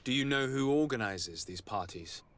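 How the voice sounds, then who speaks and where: A man plays a composed investigator in a video game. His mid-pitched voice stays polite and measured in manner while he presses for answers. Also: expressive, a little hiss or hum, lightly acted. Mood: polite, probing. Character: composed, courteous but pressing male investigator.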